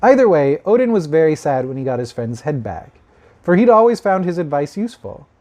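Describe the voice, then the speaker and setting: A man's voice: on the high side, very animated, brisk, a little mellow. A man telling myths as a storyteller in an informal, conversational way, with wry asides.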